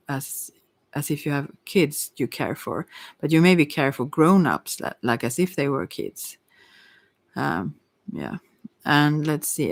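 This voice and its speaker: A tarot reader who speaks English as a second language, rambling through an unscripted ASMR reading in halting phrases that often trail off. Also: mid-range voice, no echo. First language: not English.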